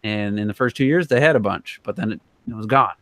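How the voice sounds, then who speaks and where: A man's voice: fairly low pitch, brisk, fairly bright tone, no echo. Man in a dry-sounding casual podcast discussion. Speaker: podcast co-host.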